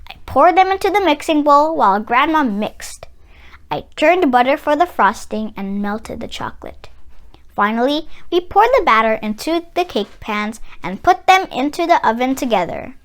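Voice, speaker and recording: A woman's voice: high voice, animated, a bit bright. A woman narrating a children's storybook expressively, with dramatic emphasis. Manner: dramatic, drawn-out emphasis on sound words. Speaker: children's read-aloud narrator.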